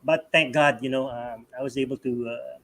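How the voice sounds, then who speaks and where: A man's voice: mid-range voice, normal speed, gravelly, slight background noise. A man from the Philippines with a Filipino accent, telling personal stories conversationally as an interview guest, often chuckling. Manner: frequent chuckling, animated retelling with acted-out quotes.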